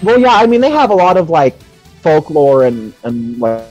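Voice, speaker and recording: A man chatting casually on a gaming livestream in a rambling, excitable, sweary way. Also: fairly high pitch, normal speed, low-quality recording. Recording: music behind the voice. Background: music.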